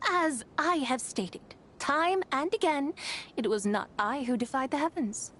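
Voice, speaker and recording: A woman's voice: high-pitched, lively intonation, measured pace, fairly bright tone, slight background noise. A woman playing a haughty, sly, ancient female horse spirit in a fantasy game, with theatrical, archaic, teasing formal speech. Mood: aloof, teasing. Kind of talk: fantasy game cutscene acting. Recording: music behind the voice.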